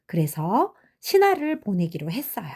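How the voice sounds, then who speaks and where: An older woman narrating children's fairy tales in a gentle storytelling style. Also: mid-range voice, wildly swooping pitch, normal speed, breathy edge.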